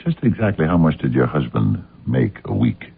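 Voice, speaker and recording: Gravelly, relentless hard-nosed investigator. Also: male voice, sits low, very animated, normal speed, exaggerated voice. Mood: probing. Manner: probing, accusatory, clipped questioning. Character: relentless male TV detective star playing hard-nosed investigator. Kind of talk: radio drama acting. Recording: muffled narrow-band old radio sound.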